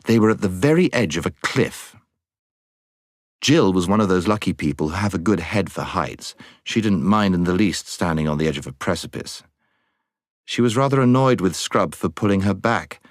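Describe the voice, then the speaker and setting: Low voiced guy reading a storybook, really animated and dramatic, measured phrasing. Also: dry recording, clean studio sound. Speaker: audiobook narrator. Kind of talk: expressive storybook narration.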